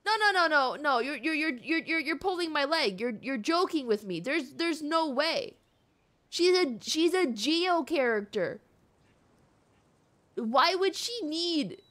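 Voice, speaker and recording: A woman's voice: high-pitched, lively intonation, a little slow, a bit bright. A woman game streamer giving casual, reactive live commentary, informal and repeating phrases for emphasis.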